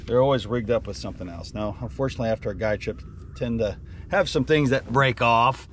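A middle-aged man, a fishing guide, talking casually from his boat on a lake into a headset mic, relaxed and rambling with lots of ums. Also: medium pitch, brisk, very noisy. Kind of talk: casual fishing vlog chat.

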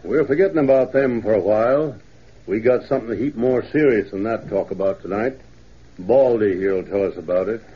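An actor playing an authoritative small-town banker with a rural Western American accent in an old radio drama. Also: male voice, on the low side, brisk, slightly rough. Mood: businesslike. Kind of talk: 1930s radio drama acting. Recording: muffled, lo-fi 1930s radio recording.

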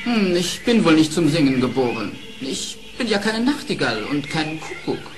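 A man's voice: a little high, very animated, moderate pace, music in the background. An actor, a man, playing a timid and reluctant hare in a fairy-tale audio play, hesitant in manner. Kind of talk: German fairy-tale radio play acting.

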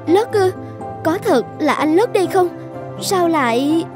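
Fairy-tale young woman: high, gentle voice over prominent music. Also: lively intonation, a little slow. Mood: surprised. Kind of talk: cartoon fairy-tale dubbing. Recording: music behind the voice.

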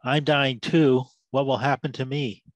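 An actor playing an embittered, drunken war veteran who tells battlefield tales in the grand cadence of a preacher. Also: male voice, mid-range voice, level tone, unhurried, no room sound, lightly acted. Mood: pleading. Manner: loquacious, sermon-like oratory with biblical cadence. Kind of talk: dramatised story, theatrical monologue.

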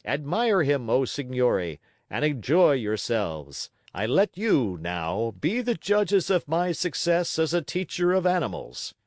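A man's voice: on the high side, a little mellow, no room sound, clean studio sound, pitched above the performer's own voice. A man putting on a pompous circus ringmaster. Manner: grandiose showman's address. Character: pompous boastful male circus manager.

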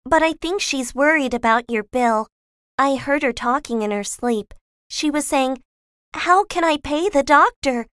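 A shy, well-mannered young girl in a children's storybook reading, voiced by a man. Her thin, breathy falsetto sits far above a man's natural range, and she speaks softly and hesitantly. The recording is dry and close. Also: measured pace, dry recording, heavily acted. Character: shy, polite young girl, gentle and earnest.

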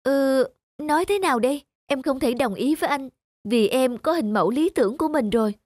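A woman playing a polite but exacting young woman in a cartoon dub, recorded with loud music underneath. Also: high-pitched, lively intonation, fairly quick, dry recording, studio quality. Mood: apologetic. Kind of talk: animated cartoon dubbing.

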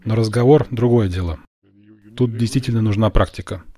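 Low-voiced Russian man, measured and modest. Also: mellow. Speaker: blogger interviewing a guest. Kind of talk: calm Russian voice-over of a translated conversation.

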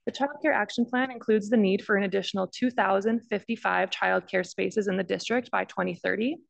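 Very creaky woman reading a report. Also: mid-range voice, a little fast, poor audio. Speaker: district staff planner presenting. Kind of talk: formal scripted report. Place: large council chamber. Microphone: podium microphone.

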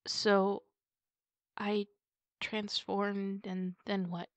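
A woman playing a distraught, ashamed werewolf girlfriend in an ASMR roleplay, stammering and apologetic, with sighs breaking up her words. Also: no echo. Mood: weary, apologetic. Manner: halting, stammering, sighing. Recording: steady rumbling background noise.

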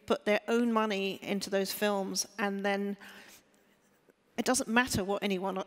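A woman's voice: mid-range voice, fairly even intonation, measured pace. A woman speaking on a panel discussion, thinking aloud with restarts and checking she is understood. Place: large hall on stage. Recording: hall reverb. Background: hall reverb.

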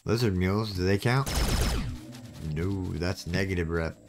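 A man's voice: low-pitched, unhurried, background noise. A man hosting a gaming channel, chatting casually to viewers as he plays, with something clogging his throat. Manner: chatty, talks to viewers while playing. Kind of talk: casual gameplay commentary.

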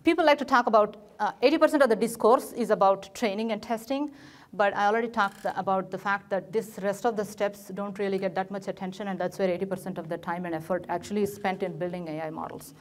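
Give me a middle-aged woman with an Indian English accent explaining slides at a conference, pausing with fillers, recorded from across the room. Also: medium pitch, steady tone. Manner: frequent fillers and restarts, gestures at slides. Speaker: conference speaker. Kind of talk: technical presentation. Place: lecture room, presenting in front of a projected slide.

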